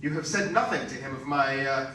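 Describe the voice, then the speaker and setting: A man's voice: medium pitch, a little fast, sharp tone, lightly acted. A man acting as a pompous, demanding, indignant scientist in a radio drama, stammering at times. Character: blustering, indignant male robot scientist, authoritative boss. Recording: echoey, distant, faint noise.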